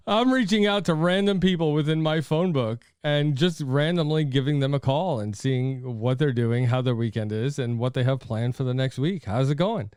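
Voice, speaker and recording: A middle-aged man hosting a podcast in a casual phone chat, friendly and quick to giggle. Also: mid-range voice, a little mellow, clear, resonant tone, no echo, clean studio sound. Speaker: podcast host.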